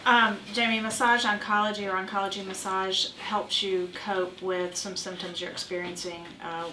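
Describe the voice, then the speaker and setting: A woman playing a reassuring oncology massage therapist in an amateur role play, explaining in a halting way. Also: medium pitch, level tone, lightly acted. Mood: reassuring, explanatory. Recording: roomy, distant mic with faint noise.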